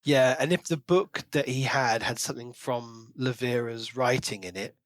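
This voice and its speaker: A man's voice: mid-range voice, normal speed, no echo. A man co-hosting a podcast, chatting casually about books in a questioning, conversational way. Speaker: podcast co-host. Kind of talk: casual book discussion.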